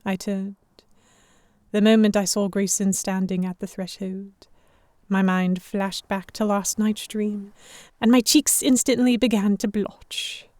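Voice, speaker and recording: Lady reading first person story narration, mid pitch, very dry recording. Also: steady tone, unhurried, darkish tone, dry recording. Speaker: narrator and lead actor. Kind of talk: first-person scripted audio drama narration.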